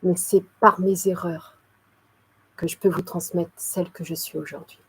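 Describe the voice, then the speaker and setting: A woman's voice: fairly low pitch, level tone, normal speed, slightly rough, poor audio. A woman in her forties from Cameroon, telling her life story to her viewers, hesitant and full of fillers, stacking repeated words for emphasis, apologetic and devout. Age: 40s. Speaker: channel host. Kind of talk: personal testimony to camera.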